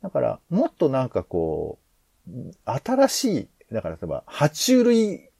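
A man's voice: mid-range voice, huge pitch swings, a little slow, creaky, mellow, breathy edge, no echo. A man hosting a podcast, talking casually through planning ideas in a hesitant, rambling way as he thinks aloud. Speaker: podcast host.

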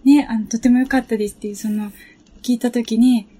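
A woman with a fairly high voice takes part in a casual panel chat. She speaks tentatively, in short halting pieces, as she searches for the right words. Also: fairly even intonation, mellow, slightly rough. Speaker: panel member in discussion.

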